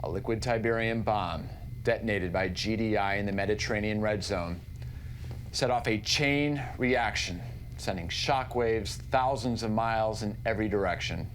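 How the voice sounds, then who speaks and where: Formal, lowish-voiced news anchor played by a man. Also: moderate pace, slight background noise, close to an everyday voice. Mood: grave. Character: sober male TV news anchor reporting on war. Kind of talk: news report in a game cutscene.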